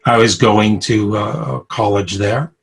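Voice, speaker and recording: An older man in his sixties with a New York accent speaks slowly and thoughtfully over a video call. His voice is fairly low and husky, and he chuckles softly between his reflective pauses. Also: steady tone. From Brooklyn, New York. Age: 60s. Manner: unhurried, chuckles often, many pauses and fillers. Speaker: interviewee. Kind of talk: reflective personal storytelling.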